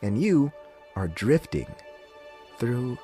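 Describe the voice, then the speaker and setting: An actor playing a serene but eerily cheerful radio DJ with a deliberate, reassuring announcer delivery. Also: medium pitch, sing-song, mellow, soft background music. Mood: uncanny calm. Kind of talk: scripted fictional radio station patter.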